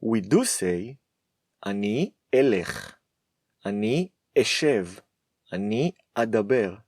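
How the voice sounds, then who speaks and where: A man teaching a Hebrew lesson, speaking slowly and deliberately with firm emphasis. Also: on the low side, big pitch swings, darkish tone, no room sound. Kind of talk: scripted lesson to camera.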